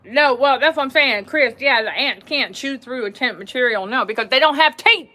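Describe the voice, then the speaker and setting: A woman's voice: sits high, normal speed, sharp tone. A woman hosting a livestream, talking animatedly to her viewers, repeating phrases and laughing often. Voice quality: voice that carries. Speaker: livestream host. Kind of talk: casual livestream talk to viewers.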